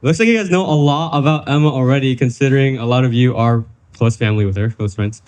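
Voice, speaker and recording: A young man from Vietnam presents to a live audience at a workshop. His voice sits at an ordinary middle pitch. He is chatty and keeps coaxing the listeners to make a guess. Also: fast, a little mellow. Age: young adult. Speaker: workshop speaker.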